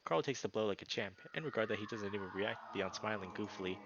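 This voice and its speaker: A man narrating a visual novel aloud as a streamer, with informal, expressive asides. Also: on the low side, quick pace, highs cut off, noisy background.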